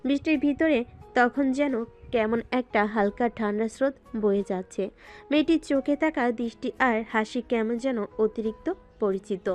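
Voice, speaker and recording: A woman's voice: on the high side, normal speed, a little mellow, soft background music. A woman narrating a scripted romantic story in a dramatic style.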